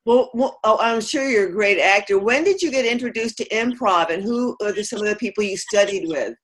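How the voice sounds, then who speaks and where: A woman hosting an interview podcast, a psychotherapist by trade. She asks her questions in a measured, conversational way, at an ordinary middle pitch.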